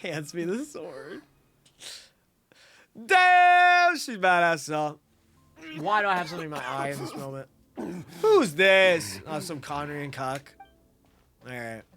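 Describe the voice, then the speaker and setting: A man giving casual, slangy and profane livestream reaction commentary on a game, with excitable delivery. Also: on the high side, slow pace, long pauses, faint music behind. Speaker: streamer.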